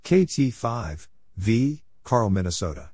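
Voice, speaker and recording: Male announcer for scripted call-sign drills, fairly low pitch, very unhurried, clipped phrasing, faint noise behind. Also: sing-song, a little mellow. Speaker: Morse code practice announcer. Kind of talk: scripted read-out of radio call signs and exchanges, spelled letter by letter.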